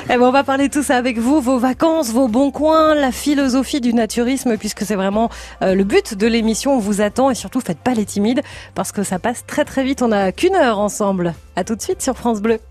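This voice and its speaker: A woman hosting a radio call-in show, talking in a lively, chatty way and often jumping in with questions. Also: on the high side. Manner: lively, chatty, quick to interject. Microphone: studio microphone.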